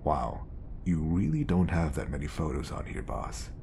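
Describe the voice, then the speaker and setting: Man acting as deep, gravelly, obsessive bodyguard. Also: big pitch swings, normal speed, darkish tone, a little hiss or hum, exaggerated voice. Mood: sly, teasing. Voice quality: low, creaky. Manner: coaxing, intimate, slipping into whispers. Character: obsessive lovestruck male bodyguard masking devotion as professionalism. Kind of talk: audio roleplay acting addressed to the listener.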